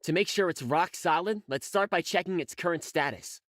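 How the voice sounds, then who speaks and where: Male actor as keen anime game engineer. Youthful, high, sharp-edged, dry studio sound. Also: normal speed, dry recording, lightly acted. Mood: focused. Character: keen, casual young spaceship engineer. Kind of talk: English anime game voice acting.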